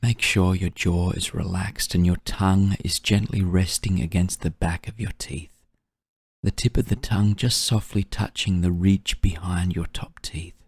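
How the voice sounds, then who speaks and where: Deep, slow, breathy male meditation guide. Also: steady tone, no room sound, clean studio sound. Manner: soothing, gentle, unhurried delivery with long pauses.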